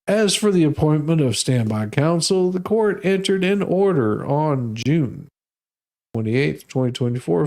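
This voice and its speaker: An American man with a slightly higher than average voice reads out formal documents for comic effect. He is folksy and sarcastic, slipping casual asides between the official wording. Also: mellow. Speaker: host reading out legal documents. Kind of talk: humorous dramatic reading with casual asides.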